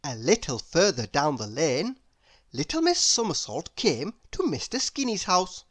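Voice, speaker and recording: A woman reading a children's story aloud expressively, addressing young listeners with dramatic pauses. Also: low-pitched, big pitch swings.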